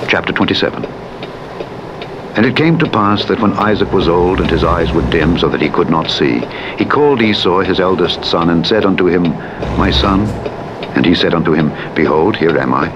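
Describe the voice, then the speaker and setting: A man narrating the Bible as a scripted reading, in a solemn, measured manner. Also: deep voice, lively intonation, lo-fi sound. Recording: loud truck engine noise over playback. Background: virtual truck driving sounds from a simulator game.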